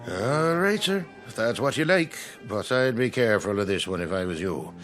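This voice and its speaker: An actor playing a brusque, sardonic and folksy police sergeant in a period radio drama. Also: male voice, fairly low pitch, expressive, moderate pace, fairly bright tone, soft background music. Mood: respectful, wary. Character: gruff, skeptical male police sergeant of the 1860s.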